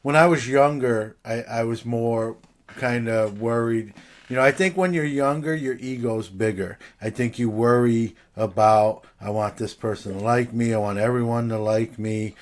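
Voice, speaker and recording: A man's voice: medium pitch, steady tone, moderate pace. A man talking as a podcast guest, reflective and hesitant, his sentences full of fillers and restarts. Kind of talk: casual chat.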